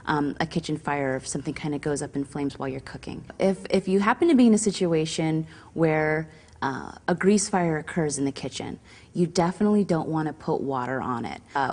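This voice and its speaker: A woman's voice: deep voice, a little mellow. A woman giving instructional safety advice in a hesitant, explanatory way. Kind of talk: semi-scripted instructional explanation.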